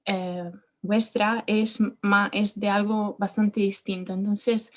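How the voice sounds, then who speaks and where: A young Italian woman, not a native speaker, asks a question at an online talk in an Italian accent. She is polite and a little hesitant as she works through her words. Her voice comes over a video call at a middle pitch. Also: level tone, slow. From Italy. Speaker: audience member asking a question.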